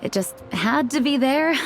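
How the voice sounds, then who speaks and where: A composed knight leader. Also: female voice, sits high. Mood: calm. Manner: calm, measured, kind. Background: soft game music.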